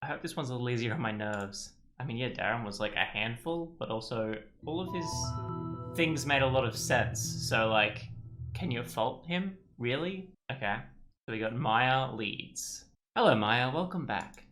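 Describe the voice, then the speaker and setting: A man's voice: mid-range voice, lively intonation, normal speed, music in the background. A man giving casual let's play commentary, thinking aloud as he goes. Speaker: game streamer.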